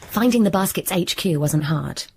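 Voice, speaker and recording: An actress playing a brisk, dry-witted British woman who narrates and acts in a radio comedy drama. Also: fairly low pitch, creaky, husky, full character voice. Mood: wry narration. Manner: deadpan, wordplay-loving, assertive. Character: brisk, dry-witted, self-appointed guardian of London, narrating. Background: music.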